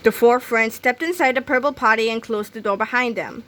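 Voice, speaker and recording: A woman's voice: fairly high pitch, fairly quick, noisy background. A woman reading a children's book aloud as scripted narration. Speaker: storybook reader.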